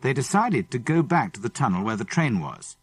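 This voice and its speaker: A man's voice: mid-range voice, very animated, fairly quick, breathy edge, no echo. A man with a British English accent narrating a children's adventure story dramatically. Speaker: audiobook narrator. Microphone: close studio microphone.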